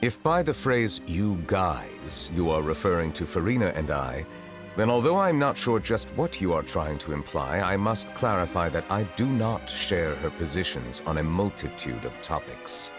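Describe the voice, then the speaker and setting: A solemn, dignified dragon sovereign in human form, with an extremely low voice. He speaks formally and with measured, courteous reserve, in video game style over music. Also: sing-song. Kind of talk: English video game voice acting.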